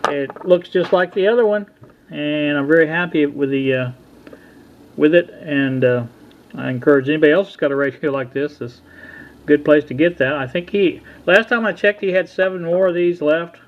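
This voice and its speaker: A middle-aged or older man casually talking through a hands-on repair at a workbench, in a halting, folksy, unhurried way. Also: on the high side. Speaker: hobbyist radio repair vlogger. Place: indoor workbench. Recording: dull lo-fi sound with background noise.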